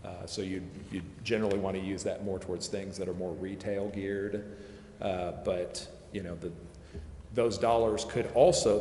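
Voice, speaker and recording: A man explaining funding options to a city committee, pausing and restarting often. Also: fairly low pitch, a little mellow, a little hiss or hum. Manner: hesitant, many restarts and fillers. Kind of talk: public meeting explanation. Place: city council chamber. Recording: echoey council chamber from far off.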